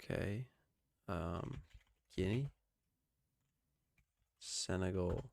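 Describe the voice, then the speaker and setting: A man's voice: low voice, extremely slow, creaky, a little mellow. A man streaming live, chatting casually and muttering to himself as he plays. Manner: muttering to himself while playing.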